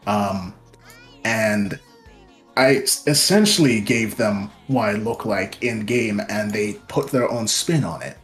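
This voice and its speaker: A man's voice: on the low side, animated, slow, faint music behind. A young man from Nigeria, a VTuber guest, talking casually and a little self-deprecatingly about himself in an interview.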